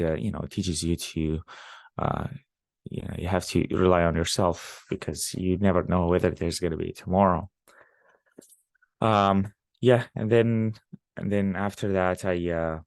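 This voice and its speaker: Low gravelly young man, halting. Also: darkish tone, no echo. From Syria. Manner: halting, many fillers and restarts. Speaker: guest, startup founder. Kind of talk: casual interview talk.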